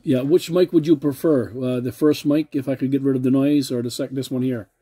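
A man's voice: medium pitch, steady tone, a little fast, mellow. A man making a CB radio contact, polite and using radio procedure words. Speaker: CB radio operator.

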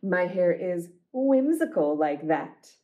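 Slow-paced woman reading a children's storybook. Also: on the low side, narrow, tinny audio. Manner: deliberate, expressive, lyrical phrasing. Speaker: children's librarian reading aloud. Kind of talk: storytime picture-book reading.

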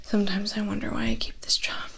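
A young woman in her twenties playing a tired, stressed vampire's personal assistant in soft, breathy, close-up ASMR roleplay, murmuring with dry resignation. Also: mid-range voice, level tone, heavily acted. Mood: weary, dry. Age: 20s. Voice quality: breathy, near-whispered soft speech. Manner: slow, hesitant, weary murmuring. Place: small indoor studio with dark backdrop.

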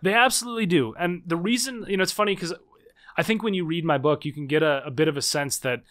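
A man in his thirties, a guest author in a conversational interview, explaining in quick, animated bursts with frequent restarts. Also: a little high. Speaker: guest, political scientist and author.

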